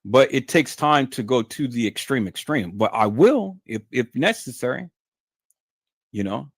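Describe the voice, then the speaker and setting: A man's voice: medium pitch, breathy edge, no echo, clean studio sound. A man hosting a livestream, talking in a stern, emphatic, lecturing way to his viewers. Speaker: livestream host. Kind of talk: unscripted livestream monologue.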